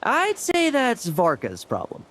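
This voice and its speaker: An actor playing a flippant, sardonic young knight in a video game, breezy and teasing. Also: male voice, very high voice, huge pitch swings, slow pace, a bit bright, a little hiss or hum, heavily acted.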